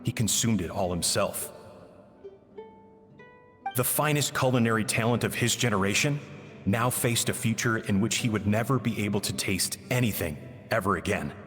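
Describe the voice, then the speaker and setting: An actor plays a sorrowful man who tells of a friend's ruin in a low, grave voice. He speaks with slow, solemn regret. His voice carries a long reverb over soft game music. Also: close to an everyday voice. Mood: somber. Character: grieving man recounting a friend's tragic downfall. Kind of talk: game cutscene voice acting.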